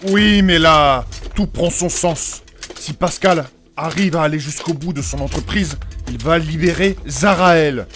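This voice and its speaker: A man's voice: fairly high pitch, unhurried, a bit bright, faint music behind. A man voicing a pious, easily alarmed young adventurer in a comic fantasy audio drama, earnest and formal. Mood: grave.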